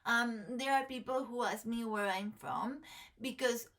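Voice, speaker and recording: Mexican-accented young woman, higher voice, lots of ums, speaks slow. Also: steady tone, fairly bright tone. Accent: Mexican Spanish. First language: Spanish. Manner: hesitant, many fillers and restarts. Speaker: tarot vlogger. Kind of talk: casual chat to camera.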